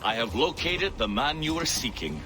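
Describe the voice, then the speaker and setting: A man's voice: mid-range voice, big pitch swings, normal speed, noisy background, close to an everyday voice. A man playing a courteous, formal British naval captain in a video game. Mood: businesslike. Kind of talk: video game cutscene acting.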